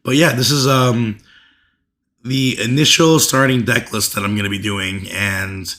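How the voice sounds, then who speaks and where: A man's voice: medium pitch, steady tone, a little slow, a bit bright. Man with a fading, allergy-worn voice. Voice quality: voice rough and fading. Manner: loose, filler-heavy, with frequent ums and uhs. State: losing his voice from allergies. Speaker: card game content creator. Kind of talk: casual deck overview to camera.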